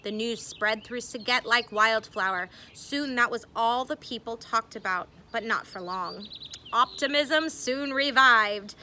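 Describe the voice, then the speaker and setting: A woman reading a memoir aloud expressively, with deliberate phrasing and dramatic emphasis. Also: a little high, bright, forceful tone. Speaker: reader.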